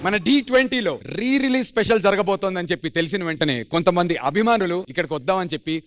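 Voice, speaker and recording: A man's voice: high voice, quick pace, very noisy. A man hosting a stage show, effusive and announcer-like, mixing in English and drawing out his welcomes. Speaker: dance show host. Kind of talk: energetic stage hosting in Telugu mixed with English. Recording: music behind, studio stage show.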